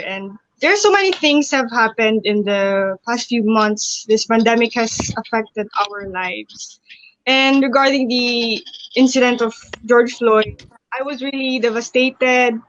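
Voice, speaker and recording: A woman with a Filipino accent speaking as a guest in a casual video call, earnest and a little halting. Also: fairly high pitch, a bit bright. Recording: dull dry call audio.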